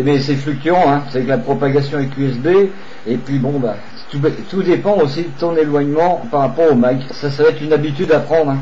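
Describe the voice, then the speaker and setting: Higher-voiced man chatting on CB radio. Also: mellow. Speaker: amateur CB radio operator. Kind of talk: radio contact chat. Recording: dull, slightly noisy CB radio recording.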